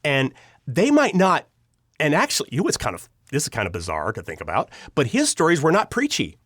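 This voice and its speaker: Animated storytelling man in a dry recording. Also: fairly high pitch, a little fast, dry recording. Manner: animated, acts out reactions and dialogue. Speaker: interview guest, audio drama writer and producer. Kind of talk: casual personal storytelling.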